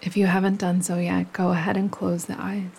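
A woman leading a guided relaxation meditation as a yoga nidra teacher, speaking gently and soothingly, with pauses between phrases. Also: on the low side, gravelly, slight background noise. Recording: steady running stream water behind voice. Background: gentle running stream sounds.

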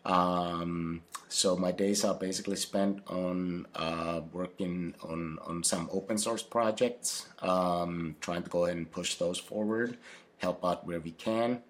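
A man from Finland, answering interview questions in a halting way, full of fillers and restarts. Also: deep voice, steady tone. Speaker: podcast guest, company founder.

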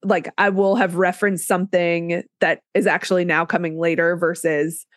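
Stuffy-nosed woman chatting, low and dry. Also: level tone, normal speed, no echo. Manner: chatty, many fillers and self-interruptions. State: stuffy from a cold. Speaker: podcast host writing a book. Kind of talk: casual podcast chat.

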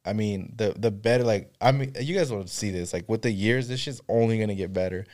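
Laid-back man with a lowish voice. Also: fairly quick, a little mellow. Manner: laid-back, profane, rambling. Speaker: podcast co-host. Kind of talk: casual podcast chat.

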